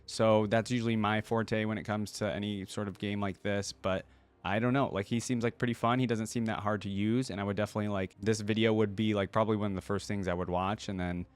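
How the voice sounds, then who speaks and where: Low-pitched man giving casual reaction commentary. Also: steady tone, fast talker, smooth. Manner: informal, thinks aloud, reads text off screen. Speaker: reaction video host. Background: music from the video being watched.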